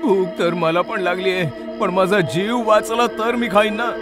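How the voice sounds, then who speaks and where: A man voicing a naive, excitable, easily frightened young traveler who exclaims to himself in a horror story. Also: high voice, unhurried, over loud music, pitched above the performer's own voice. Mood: anxious.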